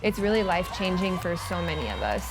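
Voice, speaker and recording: A woman's voice: on the low side, big pitch swings, quick pace, music in the background, low-quality recording. A woman from the United States, a volleyball player, speaking earnestly and gratefully in interview soundbites.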